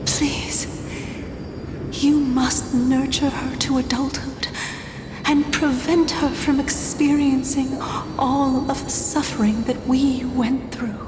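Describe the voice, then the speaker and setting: A woman's voice: high-pitched, fairly even intonation, slow pace, background noise. A woman playing a sorrowful, dying survivor of a doomed city in a video game, speaking in solemn, archaic, formal phrases. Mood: pleading. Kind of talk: dramatic video game acting. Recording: echoing hall reverb under loud music.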